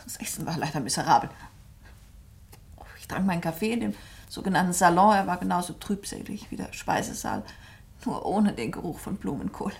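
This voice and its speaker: Novelist in a radio play: lowish pitch, composed, precise, matter-of-fact, considerate. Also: female voice, expressive, fairly quick, gravelly. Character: composed, observant female novelist, cool and considerate. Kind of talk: German radio play dialogue.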